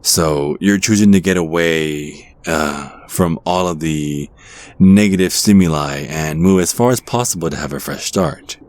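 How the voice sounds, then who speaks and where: Deep-voiced, charming devilish stranger played by a man. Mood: sympathetic. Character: charming, playful, ageless devil posing as a handsome stranger. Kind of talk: ASMR roleplay acting.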